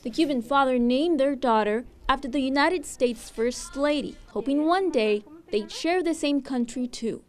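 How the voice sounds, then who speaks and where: High-pitched woman news reporter. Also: normal speed, hardly any pauses, darkish tone. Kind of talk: scripted news narration.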